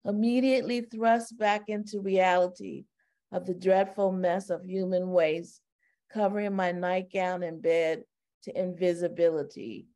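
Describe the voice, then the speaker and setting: A middle-aged woman on a video call reading her own poem in a slow, solemn, measured way. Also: lowish voice, steady tone, warm tone. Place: home, indoors, video call. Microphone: webcam on a video call.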